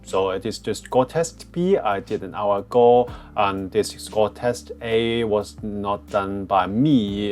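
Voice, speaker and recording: A man with a Hong Kong English accent talks through exam advice in a relaxed, meandering way, pausing on fillers and restarting his sentences. His voice sits fairly low, recorded on a cheap headset mic. Faint music plays underneath. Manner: frequent fillers and restarts, plain phrasing. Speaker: test prep tutor. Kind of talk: casual explainer walkthrough.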